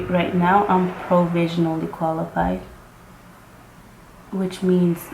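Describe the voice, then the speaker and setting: A woman's voice: fairly low pitch, darkish tone. A woman of twenty-five, a native Mixtec speaker and court interpreter, chatting casually and rambling through a makeup tutorial with lots of "like.". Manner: chatty and rambling, full of "like" and "freaking". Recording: roomy with faint ambient noise.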